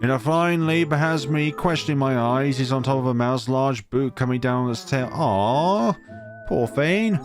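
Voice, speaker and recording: A man reading a visual novel aloud as dramatic narration, expressive and reacting as he goes. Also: medium pitch, measured pace. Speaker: let's player reading a game aloud. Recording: music behind the voice. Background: game music.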